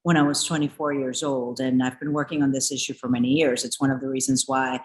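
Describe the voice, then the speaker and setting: A woman's voice: very deep voice, flat delivery, quick pace. A woman born in Ecuador, a former congresswoman, speaking earnestly in a news interview with frequent restarts. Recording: echoey room.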